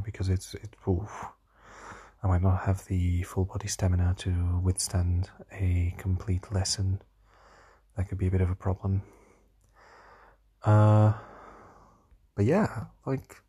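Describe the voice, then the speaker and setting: A tired young man with a slightly hoarse voice, rambling hesitantly through a casual diary vlog with many fillers and restarts. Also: low-pitched, warm tone. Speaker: vlogger.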